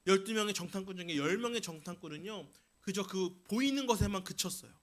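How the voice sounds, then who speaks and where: A man's voice: sits high, lively intonation, quick pace. A man preaching a Sunday sermon as a pastor, telling stories and exhorting with emphatic delivery. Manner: emphatic, rising into forceful declarations then easing into explanation.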